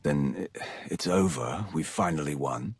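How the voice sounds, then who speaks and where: A man playing a cold, ruthless Sith warrior in a video game cutscene, blunt and menacing, with a gravelly voice. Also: measured pace, dry recording, heavily acted. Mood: weary, guarded. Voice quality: gravelly, very deep.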